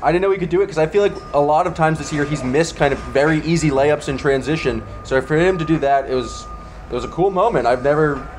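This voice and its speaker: A man's voice: on the high side, quick pace. A man chatting informally about basketball as a podcast panelist. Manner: informal, conversational. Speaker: sports podcast co-host, panelist. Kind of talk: casual basketball post-game chat. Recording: faint background ambience noise.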